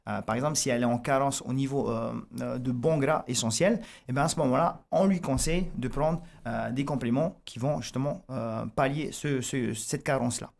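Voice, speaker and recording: A man's voice: mid-range voice, brisk, vocal fry, few pauses, darkish tone. A man with a Tahitian accent, a fitness coach guest on a radio interview, explaining eagerly with many restarts and fillers. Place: radio studio.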